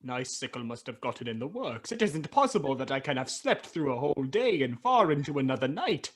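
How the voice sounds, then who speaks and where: A man's voice: medium pitch, big pitch swings, a little fast. A man playing a bewildered, grumbling old miser in a theatrical radio drama monologue, muttering to himself.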